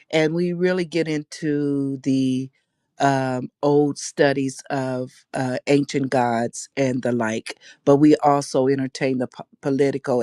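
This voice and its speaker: A woman's voice: very deep voice, darkish tone, dry recording, studio quality. A woman speaking as a guest in an audio chat room, open and talkative, restarting her sentences often. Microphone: phone in a voice chat app.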